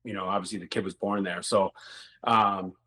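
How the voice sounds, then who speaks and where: Laid-back low-voiced male ex-hockey player. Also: little pitch movement, moderate pace, bright, forceful tone, breathy edge. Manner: rambling, laid-back, swears casually. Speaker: guest, former hockey player. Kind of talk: casual interview storytelling.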